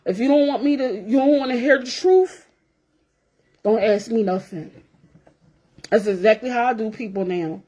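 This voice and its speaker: A woman's voice: a little high, moderate pace, pauses often, warm tone. A woman in her forties talking straight to her viewers in a blunt, profane rant, repeating herself for emphasis. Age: 40s. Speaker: vlogger. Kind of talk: casual rant to camera.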